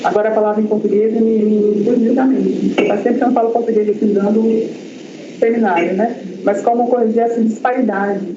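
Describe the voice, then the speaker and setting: A man's voice: high-pitched, steady tone, brisk. A researcher presenting his project at an academic seminar, talking in a hesitant way with many fillers and restarts. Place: university lecture room. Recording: echoey lecture hall, dull lo-fi sound, audible noise.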